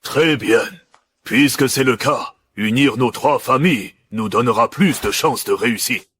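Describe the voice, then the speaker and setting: A man acting as a gloating villain patriarch in an animated drama. His voice is husky and mid-pitched, and he threatens theatrically, savoring his vengeance. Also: a little slow. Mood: scheming.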